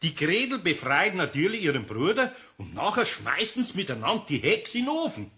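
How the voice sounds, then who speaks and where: A good-natured older Bavarian craftsman in a children's radio play. His voice is bright and fairly high, swinging widely in pitch, full of folksy interjections and easy amusement. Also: fairly quick, heavily acted. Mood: patient, amused. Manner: folksy, animated, chuckling speech with interjections.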